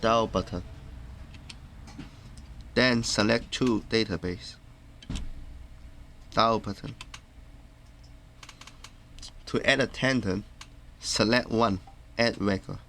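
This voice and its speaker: Low-voiced man giving step-by-step instructions, noisy recording. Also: steady tone, takes it slowly, pauses often, fairly bright tone. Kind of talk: step-by-step tutorial narration. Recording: clearly noisy background.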